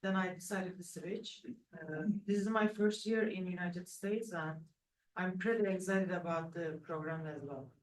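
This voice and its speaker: A woman's voice: lowish voice, a little slow. A woman, a graduate student introducing herself in an upbeat, plain way. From Turkey. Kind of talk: self-introduction in a class. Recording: roomy, distant.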